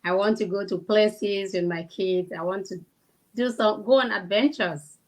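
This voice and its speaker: A woman's voice: medium pitch, a little slow, a little mellow, low-quality recording. A woman with a Cameroonian accent chatting casually as the guest in an interview. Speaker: interview guest, kindergarten teacher.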